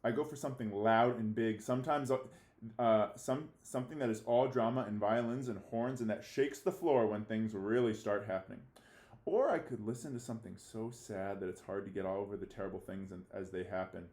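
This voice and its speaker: A man's voice: on the low side, fairly quick, a little mellow. Echoey man teaching and reading aloud. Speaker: fifth-grade teacher. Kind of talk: read-aloud lesson for children, reading a novel with commentary. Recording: echoey room.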